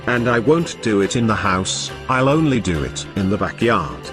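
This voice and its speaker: A man's voice: fairly low pitch, very animated, normal speed, over music. A man playing an eager, earnest little boy with a childish manner in an old-time radio drama. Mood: eager, pleading.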